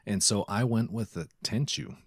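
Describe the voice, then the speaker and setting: A man's voice: on the low side, normal speed, creaky, no echo. A man co-hosting a podcast in casual, conversational chat. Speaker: podcast co-host.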